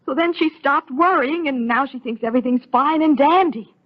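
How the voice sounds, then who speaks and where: On a muffled old radio recording, an actress plays an anxious, guilt-ridden wife. She speaks high and urgently, fretting in melodramatic soap style. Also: normal speed, lightly acted. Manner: urgent, fretful. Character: anxious, conscience-stricken doctor's wife Nina. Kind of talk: 1930s radio soap opera acting.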